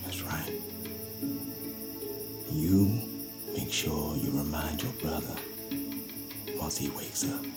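An actor playing a resolute middle-aged enslaved father, speaking in a grave hush in an audio drama. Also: extremely low pitch, slow, pauses often. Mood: watchful, firm. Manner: hushed, grave, often whispering. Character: resolute enslaved father leading his family's escape. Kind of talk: dramatic audio fiction acting. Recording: music bed, night crickets.

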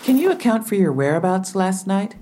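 An actress playing a businesslike police officer who presses with matter-of-fact questions in an audio drama. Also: female voice, medium pitch, very animated, brisk, dark tone, slight background noise, natural voice. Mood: probing, businesslike.

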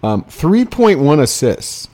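A man co-hosting a casual sports podcast, chatting in an animated, bantering way. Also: on the high side, slow pace.

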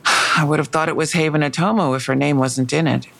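Audio drama detective: weary, guarded, hesitant, with plenty of vocal fry. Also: female voice, low voice, steady tone, fairly quick, fairly bright tone, heavily acted. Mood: defensive. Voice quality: creaky. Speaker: actor in a fiction podcast. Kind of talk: naturalistic audio drama acting.

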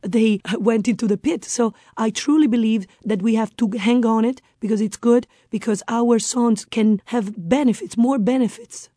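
Woman, Italian accent, earnest, mellow, a bit high, dry studio sound. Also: moderate pace, hardly any pauses, dry recording. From Italy. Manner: earnest, repeats words, strongly believes what she says. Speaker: guest. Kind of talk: radio interview.

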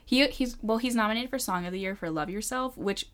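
A young woman with a calm, soothing voice, chatting casually as a podcast co-host. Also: mid-range voice, brisk. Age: young adult. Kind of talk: casual pop culture podcast chat.

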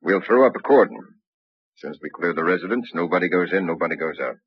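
A man playing a gruff, commanding police chief who gives clipped orders, in 1950s radio drama style. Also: low-pitched, animated, fast talker, dry recording. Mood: brisk, authoritative. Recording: dry, muffled old radio recording.

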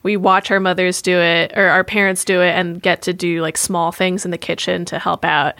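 A woman's voice: fairly low pitch, little pitch movement, moderate pace, fairly bright tone, dry recording. Encouraging woman interviewer, dry sound. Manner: encouraging, laughs and backchannels. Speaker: host.

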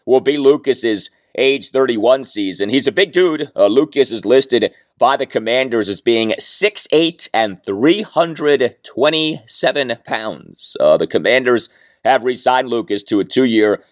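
A man hosting a sports podcast with a hoarse, fading voice from a cold, running through topics in a plainspoken, self-deprecating way. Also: medium pitch, lively intonation, a little slow, no room sound, clean studio sound. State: hoarse, losing his voice from a cold. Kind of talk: solo sports podcast talk.

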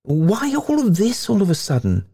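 A haunted, shabby middle-aged man, acted by a male storyteller. His voice rises high and strained in agitated protest. He sounds nervous and exasperated, in the manner of a dramatic storybook reading. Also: wildly swooping pitch, normal speed, vocal fry, mellow, breathy edge, full character voice.

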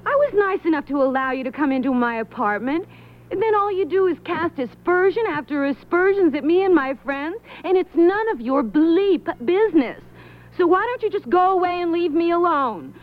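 An actress playing a defensive, sharp-tongued, indignant young woman in a 1970s radio drama. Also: extremely high pitch, expressive, normal speed. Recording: muffled, noisy old radio broadcast.

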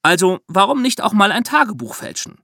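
A man's voice: high voice, big pitch swings, fairly quick, gravelly, bright, forceful tone, dry recording, clean studio sound. A man hosting a podcast, telling a true-crime story in a colloquial, explanatory way. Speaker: podcast host.

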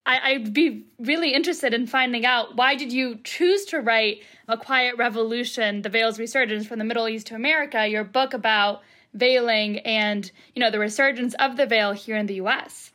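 Young woman from Egypt, high-pitched, earnest and polite, interviewing a guest. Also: normal speed, hardly any pauses, bright, forceful tone. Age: young adult. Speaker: podcast producer and interviewer.

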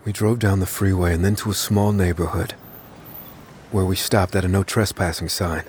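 A man playing an anxious, unassuming narrator who recounts his own mystery in a measured, confessional way for an audio drama. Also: low voice, level tone, a little mellow, a little hiss or hum, close to an everyday voice. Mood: tense, matter-of-fact. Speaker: narrator of a fiction podcast. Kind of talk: first-person dramatic scripted narration. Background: faint music.

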